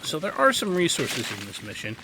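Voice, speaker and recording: A man's voice: fairly high pitch, wildly swooping pitch, fairly quick, noisy background. A man giving a casual, instructive video game tutorial in an opinionated, emphatic way. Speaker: video game guide host.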